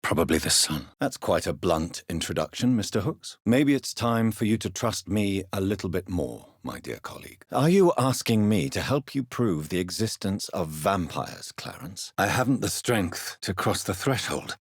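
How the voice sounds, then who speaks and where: A man playing a grave, educated gentleman doctor and vampire in a video game, speaking formally and precisely, courteous but stern, in a husky low voice. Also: sing-song, normal speed, few pauses, dry recording, studio quality, heavily acted. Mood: grave, concerned. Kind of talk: scripted video game character dialogue.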